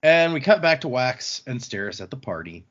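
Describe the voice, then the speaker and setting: A man's voice: mid-range voice, very animated, moderate pace, a bit bright, dull audio. A man hosting a casual book podcast, recapping the story conversationally and loosely voicing characters' lines, with some swearing. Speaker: podcast host recapping a novel.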